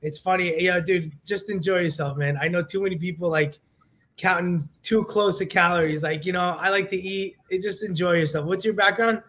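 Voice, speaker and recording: Brash young man with a high voice. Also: a little fast. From New York. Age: young adult. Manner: brash, animated, talks in quoted asides. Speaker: guest physical therapist. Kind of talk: casual podcast interview.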